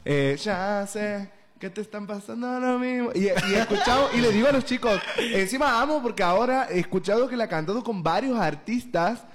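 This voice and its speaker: A man, co-hosting and chatting in an animated way. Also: high-pitched, moderate pace, hardly any pauses, a little hiss or hum. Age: 30s. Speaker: co-host.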